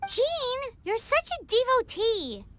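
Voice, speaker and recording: A woman voicing a tiny, cheeky, childlike floating companion girl in a video game, with a squeaky voice. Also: big pitch swings, slow pace, over loud music, heavily acted. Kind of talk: scripted game voice acting.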